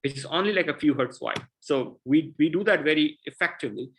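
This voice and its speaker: A man's voice: fairly high pitch, brisk, fairly bright tone. A man from India with an Indian accent, a guest researcher answering questions earnestly and often restarting his sentences. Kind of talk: explaining science in a Q&A.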